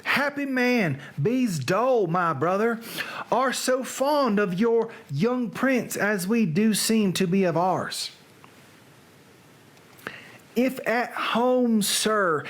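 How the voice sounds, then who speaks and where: A reader voicing a musing Shakespearean nobleman and fond father in a put-on Southern American accent, with drawn-out theatrical phrasing. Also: mid-range voice. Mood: fond, grand. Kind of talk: dramatic reading of Shakespeare verse.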